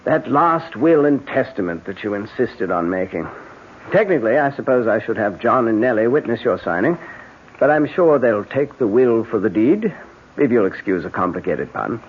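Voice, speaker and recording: An actor playing a soothing, secretly sinister husband in a 1970s radio drama, talking in a placating way. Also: male voice, mid-range voice, animated, moderate pace, slight background noise, natural voice. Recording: dull old radio broadcast.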